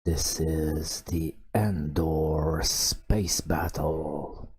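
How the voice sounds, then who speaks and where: A man softly narrating a video game as an ASMR bedtime story, hushed and drawn out, with long pauses. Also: very deep voice, fairly even intonation, breathy edge. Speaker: host narrating gameplay. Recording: music behind the voice. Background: game music.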